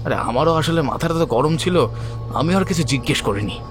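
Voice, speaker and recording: A man's voice: a little high, animated, brisk, soft background music, close to an everyday voice. A man playing an irritated, sceptical club coach in an audio drama, talking in a scoffing, colloquial way. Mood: brooding. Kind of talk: Bengali audio drama acting.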